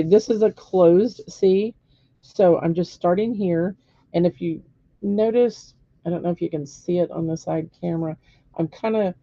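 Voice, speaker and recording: Hoarse woman teaching painting strokes, gravelly. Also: on the low side, mellow, no echo, dull audio. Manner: patient step-by-step instruction with frequent "okay?" checks. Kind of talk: live-streamed painting demonstration.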